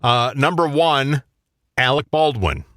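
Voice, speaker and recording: A man's voice: medium pitch, animated, measured pace, fairly bright tone, no room sound, studio quality. A man co-hosting a radio show, bantering casually as he reads out countdown lists.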